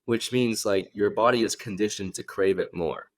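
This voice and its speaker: Man, from Hong Kong, young adult, fitness coach, low voice, chatty, dry recording. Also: normal speed, no echo. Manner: chatty, often checks in with "right?". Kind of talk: casual podcast conversation.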